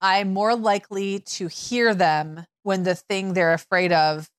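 A woman's voice: medium pitch, steady tone, unhurried, clean tone, dry recording. Chatty mother of five, dry sound. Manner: chatty, storytelling with many fillers and restarts. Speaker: podcast co-host. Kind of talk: casual conversational chat.

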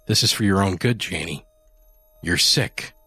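A man voicing a calm, controlling husband who speaks in a measured, quiet tone. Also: low voice, husky, dry recording, faint music behind, exaggerated voice. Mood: cold calm.

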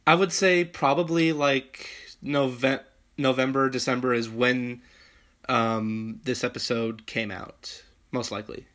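A man's voice: mid-range voice, fairly even intonation, takes it slowly, a bit bright. A man co-hosting a podcast, chatting casually with a dry delivery that trails off. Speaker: podcast co-host.

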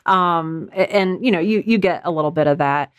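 Low-pitched woman in a dry-sounding interview. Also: moderate pace, clean tone, no echo, dull audio. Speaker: audio drama writer and podcast guest. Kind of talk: conversational interview, explaining writing advice.

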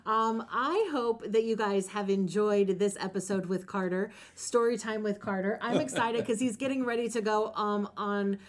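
A woman's voice: mid-range voice, normal speed, hardly any pauses. A woman hosting a podcast, talking conversationally about faith with a guest in an earnest, encouraging way. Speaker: podcast host.

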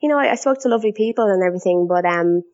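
A woman's voice: mid-range voice, racing, a little mellow. Chatty Irish woman, dull lo-fi sound. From Ireland. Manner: chatty, many restarts and fillers. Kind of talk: casual conversation. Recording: dull lo-fi audio.

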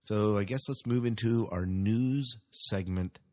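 Low-voiced man hosting a casual podcast. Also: a little slow, darkish tone. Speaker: podcast host. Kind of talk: casual sci-fi news commentary.

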